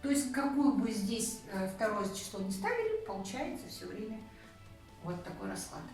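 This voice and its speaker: Woman teacher going thru examples slow and patient, sounds far off in a big echoey room. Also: a little high, sing-song, darkish tone. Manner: patient, counts out numbers one by one with check-in "да?". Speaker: language teacher. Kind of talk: explaining a grammar point, counting through examples. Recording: very echoey room, voice from a distance, faint music.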